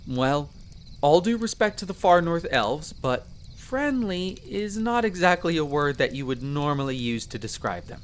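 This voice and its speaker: A man playing a friendly, earnest young elf explorer in roleplay audio, explaining things chattily with hesitant pauses. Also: fairly high pitch, big pitch swings, a little hiss or hum. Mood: chatty, informative. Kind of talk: character roleplay audio addressed to the listener.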